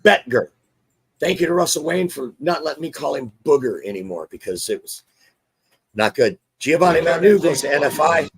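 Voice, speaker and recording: Hoarse, chatty man hosting a sports show. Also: on the high side, normal speed. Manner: chatty, excitable, restarts often. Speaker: show host. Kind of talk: casual sports talk show.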